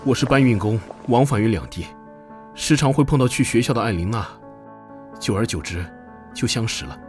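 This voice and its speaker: A man playing a frightened, earnest young porter who pleads desperately in an animated comic dub. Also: mid-range voice, a little fast, soft background music, lightly acted. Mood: sorrowful.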